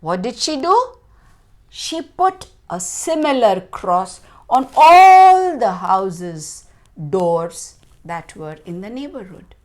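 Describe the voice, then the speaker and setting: A woman storyteller narrating for children with dramatic, deliberate emphasis and questions to her listeners. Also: medium pitch, very animated.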